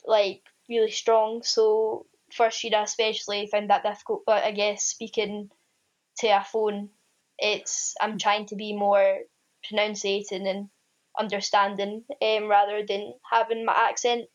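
A woman's voice: medium pitch, completely flat delivery, a little slow. A young woman from Scotland with a strong Ayrshire accent, a student teacher answering interview questions hesitantly, with many ums and restarts, over what sounds like a phone or video call. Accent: Scottish, Ayrshire. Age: young adult. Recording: dull lo-fi sound.

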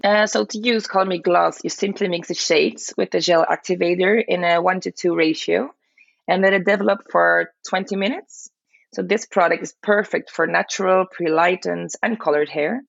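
Low, creaky woman on a dull recording. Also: steady tone, normal speed, no echo. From Belgium. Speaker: guest salon owner and educator. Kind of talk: podcast interview answers.

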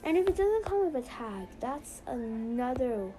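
A woman's voice: high voice, sing-song, a little slow, music in the background, rough recording. A young woman hosting a casual podcast, chatty and rambling with lots of "so yeah". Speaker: podcast host.

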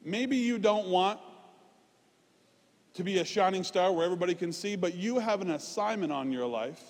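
A man preaching a conversational, informal sermon, emphatic at points and dropping into quieter, self-deprecating asides. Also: sits high, moderate pace. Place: large reverberant room, preaching live. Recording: reverberant hall sound.

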